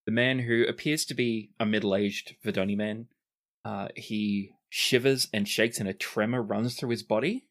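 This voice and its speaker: A man narrating and voicing characters as game master of a tabletop role-playing game, relaxed and using fillers. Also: lowish voice, moderate pace.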